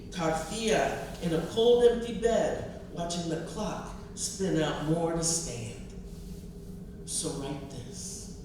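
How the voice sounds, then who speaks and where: A woman poet speaks at a live reading with slow, expressive delivery and firm emphasis. She sounds distant, in a very reverberant indoor space with clear background noise. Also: sits low. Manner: expressive, emphatic, with repetition for effect. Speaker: poet and professor. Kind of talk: live poetry reading and audience talk. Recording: distant, very echoey, noisy room.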